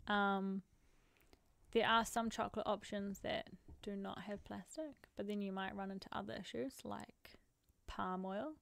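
A woman co-hosting a casual podcast chat, talkative and quick to laugh. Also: mid-range voice, fairly even intonation, vocal fry, fairly bright tone, no echo. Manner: chatty, laughs easily. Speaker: podcast co-host.

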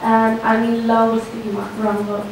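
A young female student from Italy introduces herself briefly in a high, flat tone. She sounds far from the mic in a very echoey conference room. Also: moderate pace, over music. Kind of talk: self-introduction. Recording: echoey hall heard from far off, noisy.

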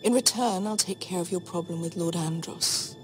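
An actress playing a cold, shrewd queen in a fantasy game, imperious and condescending in measured speech. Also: fairly low pitch, soft background music, close to an everyday voice. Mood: scheming. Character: cold, shrewd, commanding adult queen, veiled threats. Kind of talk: dramatic fantasy game acting.

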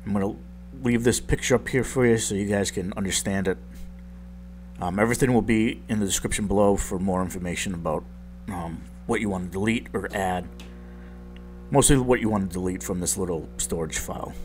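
A man with a very low, groggy voice, like he just woke up, talks casually through a software tutorial. He rambles and pokes fun at his own mistakes. A faint hum sits under the recording. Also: a little fast. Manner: rambling, self-deprecating, lots of ums. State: just woke up, deep morning voice. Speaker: tech tutorial youtuber.

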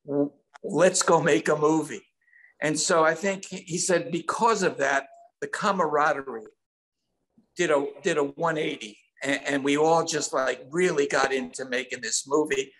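A man's voice: on the high side, normal speed, fairly bright tone, rough recording. An older man telling stories casually as a songwriter guest in an interview over a video call. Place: indoor room at home.

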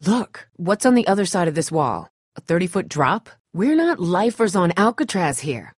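A tough woman survivor in a dramatic video game, with a low, husky voice. She is blunt and no-nonsense yet caring, and her pitch moves widely as she speaks. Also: big pitch swings, normal speed, no room sound, clean studio sound. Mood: tense, blunt.

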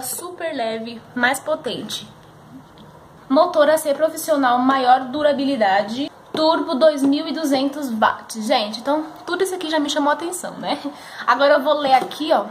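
A young Brazilian woman with a São Paulo accent speaks in a high voice. She talks casually and enthusiastically to her viewers like a beauty vlogger at home. The camera microphone picks up a faint background noise. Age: 20s. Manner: chatty, enthusiastic, addresses viewers as friends. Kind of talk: casual chatty product review to camera. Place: living room at home, indoors.

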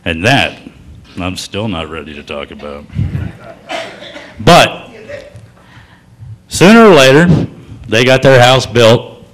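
A man performing a stand-up comedy set in a comedy club, telling stories in a deadpan, folksy drawl that builds to loud punchlines. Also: lowish voice, slow, long pauses.

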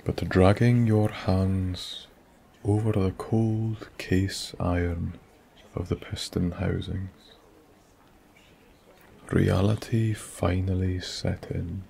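A man with a Scottish accent narrating a soft-spoken bedtime story in a slow, hushed, soothing way that drifts into whispers. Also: low voice, flat delivery, vocal fry, slight background noise.

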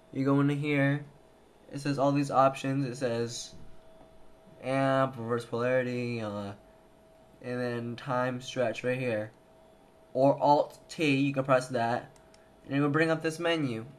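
A young adult man giving a casual, hesitant tutorial, thinking aloud as he goes. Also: medium pitch. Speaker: tutorial presenter. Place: indoors at a computer. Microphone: handheld camera mic. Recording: noisy handheld camera recording.

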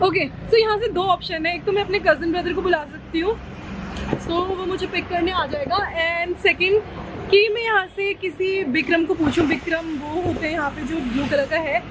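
High-pitched chatty woman vlogging. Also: normal speed, crowd noise, background noise. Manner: chatty and upbeat, talking straight to camera. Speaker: travel vlogger. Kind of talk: casual on-camera travel vlog, Hindi-English mix. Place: inside a bus and at roadside stops. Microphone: handheld camera at arm's length.

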